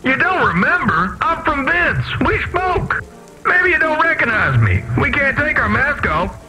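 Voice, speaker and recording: High-pitched desperate underground refugee. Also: male voice, wildly swooping pitch, unhurried, music in the background, heavily acted, low-quality recording. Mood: urgent pleading. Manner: dramatic, pleading, formal wording. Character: masked male refugee from the underground vents, earnest, desperate. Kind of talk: video game dialogue.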